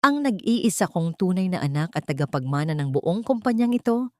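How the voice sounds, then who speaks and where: A woman's voice: fairly low pitch, very animated, fairly quick, dry recording, studio quality. A woman voicing an elderly, powerful father in a story, speaking with firm, weighty authority. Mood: stern.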